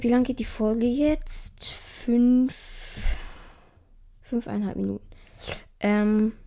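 A young woman chatting casually through mobile game challenges, a little hoarse, her voice often climbing high and cracking. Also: mellow. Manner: voice keeps rising high at phrase ends; chatty, swears casually when she fails. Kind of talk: casual gameplay commentary.

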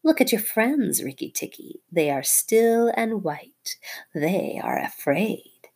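A woman voicing a cruel female cobra, speaking in a slow, hissing half-whisper. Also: medium pitch, big pitch swings, warm tone, slightly rough, voice pushed up, exaggerated voice. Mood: menacing.

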